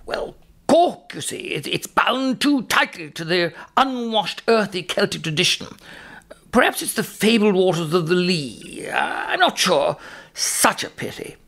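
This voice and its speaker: An actor playing a pompous, snobbish Irish academic with an Irish accent, fussy and drawn-out in a mock documentary interview. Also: male voice, high-pitched, very animated, vocal fry, pushed and bright, full character voice. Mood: condescending. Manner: pompous, hesitant, theatrically drawn-out.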